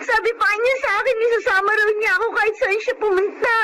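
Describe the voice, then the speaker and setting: A woman's voice: extremely high pitch, fairly even intonation, fast talker, noisy background. A woman playing a grieving, stubborn little girl in a Filipino melodrama, pleading tearfully in a childlike way. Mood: tearful, insistent. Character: grieving, stubborn little girl who misses her mother.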